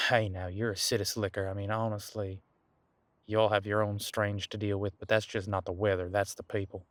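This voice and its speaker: Guy doing an old demon detective for audio rp, low voice, super dry sound, chill n folksy, just rambling along. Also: level tone, moderate pace, fairly bright tone, no room sound, natural voice. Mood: amused. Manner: laid-back, folksy, musing, chatty. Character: easygoing ancient male demon detective living on the coast. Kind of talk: conversational one-sided audio roleplay.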